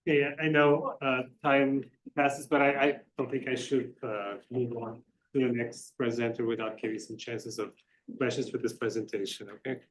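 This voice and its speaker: A man with a medium-pitched voice chairs a conference session. He speaks off the cuff and politely, feeling his way through the sentences. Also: steady tone, normal speed, rough recording. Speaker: session moderator. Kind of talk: informal spoken moderating.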